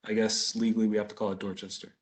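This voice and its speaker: A man's voice: fairly low pitch, flat delivery, quick pace. An American man in his thirties answering questions at a community meeting in a conversational, explanatory way. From Boston, United States. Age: 30s. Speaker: license applicant, business owner.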